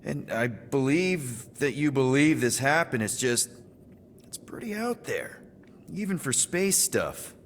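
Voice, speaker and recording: An actor playing a casual, wisecracking space adventurer in a video game, with an informal, flippant way of talking. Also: male voice, medium pitch, sing-song, takes it slowly, a little hiss or hum. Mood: skeptical. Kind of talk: video game cutscene acting.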